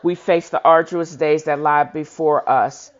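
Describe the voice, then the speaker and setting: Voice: medium pitch, fairly even intonation, unhurried. A person reading a historical speech aloud with informal commentary, stumbling now and then and correcting themselves, in a dull, lo-fi recording. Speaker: host of a reading show. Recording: dull lo-fi sound.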